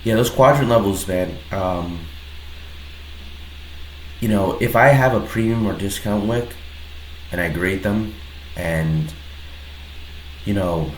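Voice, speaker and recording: Deep-voiced man with a stuffy head cold. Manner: relaxed, hesitant, many pauses and restarts. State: head cold, slightly nasal. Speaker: trader reviewing his own trade. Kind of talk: casual screen-recorded trade breakdown. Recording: roomy echo with faint background noise.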